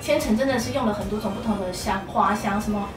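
A young woman with a Taiwanese Mandarin accent, hosting a chatty promotional interview in an expressive, enthusiastic way. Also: medium pitch, fast talker. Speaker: host. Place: small wood-panelled room indoors. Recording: roomy, distant mic, music behind.

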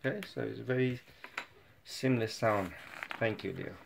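A man's voice: mid-range voice, moderate pace, gravelly. A man speaking as a father in a patient one-to-one reading lesson, encouraging and repeating words. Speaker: father coaching a child's reading. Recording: noticeable background noise, clicks, home recording.

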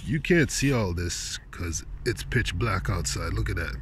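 A man's voice: deep voice, animated, a little slow, fairly bright tone, slight background noise. A man chatting in a laid-back, rambling, slangy way as he vlogs on a walk outdoors. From Canada. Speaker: vlogger. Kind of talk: casual rambling vlog chat. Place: outdoors, walking in wilderness at night.

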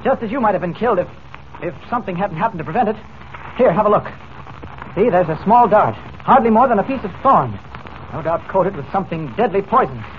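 An actor playing a level-headed investigator hero, reasoning in a matter-of-fact way in a 1940s radio serial. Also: male voice, high-pitched, expressive, a little fast. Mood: probing, serious. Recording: tinny, noisy 1940s radio broadcast.

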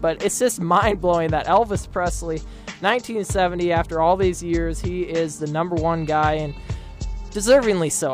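A young man hosting as a college radio DJ in an upbeat broadcast style. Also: a little high. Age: young adult. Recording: music bed behind the voice.

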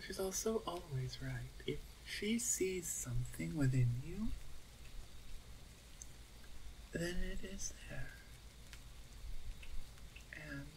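A woman voicing a reserved, dominant orc huntress in an ASMR roleplay, soft-spoken and measured, often slipping into a whisper. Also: sits low, slight background noise, heavily acted, poor audio. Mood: thoughtful.

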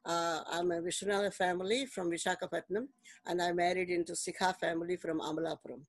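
Guest: woman in her 70s, Indian English accent, unhurried and full of pauses, dry close video-call sound. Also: fairly low pitch, level tone, no room sound. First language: Telugu. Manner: unhurried, many pauses and fillers. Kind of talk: telling her life story. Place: home room, indoors.

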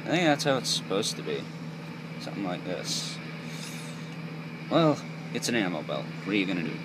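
A man's voice: low voice, sing-song, normal speed, very noisy. A young man giving a casual, off-the-cuff build tutorial in a rambling, jokey, self-deprecating way. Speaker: toy build video host.

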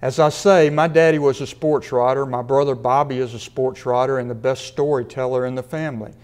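A man in his sixties from Mississippi, a sports writer delivering a prepared acceptance speech in a measured way with a dry wit. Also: mid-range voice, steady tone, darkish tone, smooth. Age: 60s. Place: studio with a sponsor backdrop.

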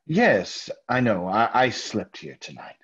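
A man playing a nervous, overpolite murderer hiding his guilt, halting and husky, in old-time radio theater style. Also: on the low side, very animated, fairly bright tone, full character voice. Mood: smooth, evasive. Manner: halting, over-courteous, stammering. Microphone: video call. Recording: dull, lo-fi video call audio.

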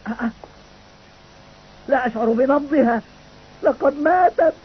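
A woman's voice: sits high, normal speed, background noise, natural voice. A woman playing a loyal, emotional young boy hero in a dubbed fairy-tale cartoon. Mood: distraught. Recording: dull lo-fi dub with loud music.

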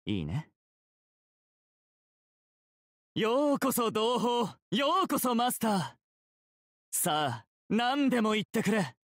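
A man's voice: high voice, wildly swooping pitch, a little slow, fairly bright tone, no echo, studio quality, full character voice. A man playing an elegant, teasing pirate captain in a game, polite, theatrical and self-assured. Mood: teasing, commanding. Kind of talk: Japanese game character voice acting.

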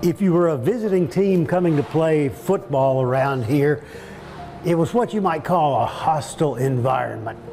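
A man's voice: fairly high pitch, lively intonation, gravelly, warm tone, a little hiss or hum. Older man narrating a TV feature. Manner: measured, folksy storyteller. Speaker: TV feature host and reporter. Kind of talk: scripted news narration. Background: music.

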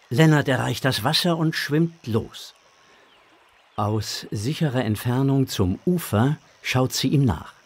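Husky male storyteller. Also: medium pitch, a little mellow. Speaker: narrator. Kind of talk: scripted narration of a children's audio drama.

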